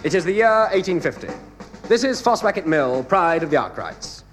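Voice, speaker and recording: An actor playing a grandiose narrator of a mock-epic family saga in a radio comedy. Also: male voice, high voice, big pitch swings, normal speed, over loud music. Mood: grandly solemn. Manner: grand, theatrical.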